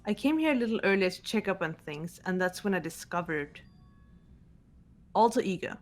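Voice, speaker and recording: A woman's voice: mid-range voice, lively intonation, moderate pace, faint music behind, close to an everyday voice. A woman playing a composed, serious young female student detective, matter-of-fact, in an amateur game dub. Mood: serious, calm. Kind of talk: amateur fully voiced game playthrough.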